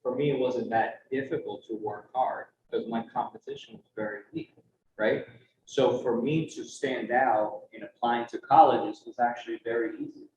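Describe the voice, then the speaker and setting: A man's voice: medium pitch, steady tone, normal speed, a little mellow. Man, young adult, conference panelist, earnest conversational talk, roomy dull sound, faint noise. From United States. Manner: earnest, conversational, frequent "right?" tag questions.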